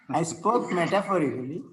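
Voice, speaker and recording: A man's voice: on the high side, fairly bright tone, slight background noise. A man reading the part of a courtly, scholarly rector in a Victorian comedy table read, formal and a little hesitant.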